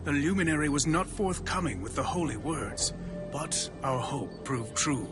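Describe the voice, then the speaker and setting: An actor playing a deferential alien steward in a game cutscene, reporting respectfully. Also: male voice, on the high side, expressive, normal speed, close to an everyday voice. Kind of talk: video game cutscene acting. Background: music score.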